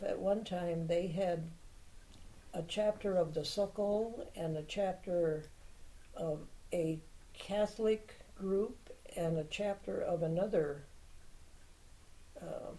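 An older woman, a native Czech speaker, talks slowly and at length, reminiscing as she goes. Her voice sits a little low and sounds warm and mellow. She says what she thinks without fuss. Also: poor audio. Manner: unhurried reminiscing, plainspoken opinions. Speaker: interviewee, Czech heritage club member. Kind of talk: oral history interview.

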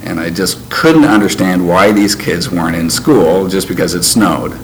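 An older man who grew up in Canada, recalling his past in an interview in a measured, reflective way with frequent pauses. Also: low-pitched. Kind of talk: oral history interview. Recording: noisy background ambience.

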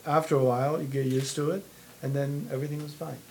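A man tells his personal history to an interviewer. His delivery halts and doubles back on words, at a medium pitch. There is clear noise behind him. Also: little pitch movement, a little mellow, low-quality recording. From Taiwan (born in China). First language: Mandarin Chinese. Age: 60s. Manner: halting, repeats words and phrases. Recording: noticeable background noise.

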